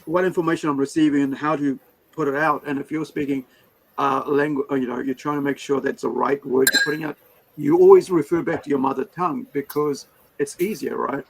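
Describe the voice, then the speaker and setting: A man's voice: on the high side, fairly even intonation, brisk, gravelly, a little hiss or hum, rough recording. A man whose first language is Hindi, hosting a casual interview, talking in a rambling way full of fillers and restarts. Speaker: podcast host.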